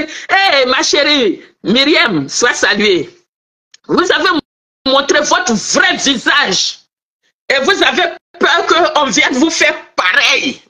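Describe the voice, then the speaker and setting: A woman's voice: high-pitched, sharp tone. A middle-aged woman from Ivory Coast with an Ivorian French accent, scolding and taunting in a heated political rant, nose stuffy from a cold. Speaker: online commentator. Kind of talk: angry political rant to viewers.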